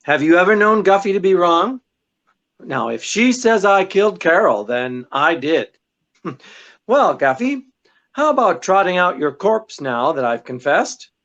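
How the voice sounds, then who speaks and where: An actor performs a wisecracking male sleuth from a 1940s radio play, swinging freely in pitch. He is sardonic and unruffled, teasing with small hesitations. The recording sounds dull and muffled. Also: sing-song, measured pace, a bit bright. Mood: wry, amused. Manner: droll, sardonic, chuckling hesitations. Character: wisecracking, unflappable male amateur detective, teasing and amused.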